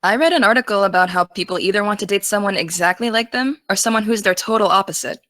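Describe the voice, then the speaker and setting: A dry, close voice in an audio drama: a young woman playing an excitable American teenage girl. Her pitch is average for a woman. She talks casually, teasing one moment and earnest the next. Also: fast talker, no room sound, lightly acted. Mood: chatty, coaxing. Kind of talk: naturalistic audio drama dialogue.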